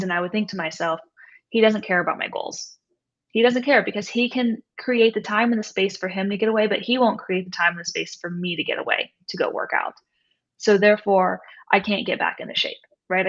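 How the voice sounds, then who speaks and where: A woman's voice: medium pitch, fairly bright tone. Reflective woman, dull lo-fi audio. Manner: reflective, frequent "right?" tags. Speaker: author and coach, guest. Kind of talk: conversational interview.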